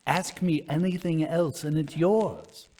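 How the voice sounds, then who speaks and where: A man's voice: a little high, takes it slowly, dark tone, slight background noise, voice pushed up. Man playing a firm, courteous country-house host. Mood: firm, polite. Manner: polite, firm. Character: obdurate genial male country-house host.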